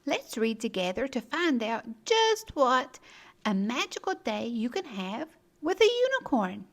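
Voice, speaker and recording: A woman hosting a children's storytime, reading aloud expressively with theatrical, deliberate phrasing. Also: a little high, very animated. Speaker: children's storytime host and narrator.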